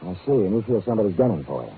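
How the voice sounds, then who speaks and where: An actor playing a wry, deadpan hard-boiled private detective in a 1950s radio noir drama. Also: male voice, on the low side, rapid-fire, slight background noise. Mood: dry. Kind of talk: 1950s radio noir acting, scripted narration. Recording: tinny old radio recording, music behind.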